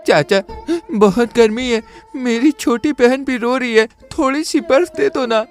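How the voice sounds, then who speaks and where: A woman's voice: fairly high pitch, a little slow, faint music behind, close to an everyday voice. A woman voicing a poor young boy who pleads and complains in a cartoon story. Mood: pleading.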